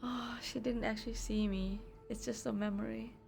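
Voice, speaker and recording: A woman game streamer giving casual reaction commentary with drawn-out, emotional exclamations. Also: fairly high pitch, steady tone, gravelly, darkish tone. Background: faint game music.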